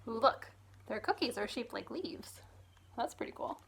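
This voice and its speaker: A woman with a fairly high voice reads aloud as a children's librarian at storytime. Her intonation swings widely and expressively, and she takes her time over the phrases. She often addresses the young listeners directly with simple questions. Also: expressive, unhurried, creaky, a bit bright, slight background noise. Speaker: children's librarian reading a picture book aloud.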